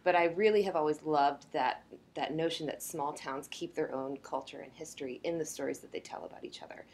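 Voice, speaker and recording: A woman author answering interview questions in a thoughtful way, often opening with a soft "uh, well". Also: sits low, brisk, husky.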